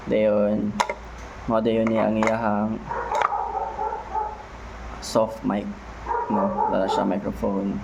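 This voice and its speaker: A man's voice: lowish voice, gravelly, very noisy. A man with a Cebuano Filipino accent chatting casually in a product vlog, thinking aloud in short, informal fragments with tag questions. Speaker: motovlogger, host. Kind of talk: casual unboxing and product feedback vlog chat.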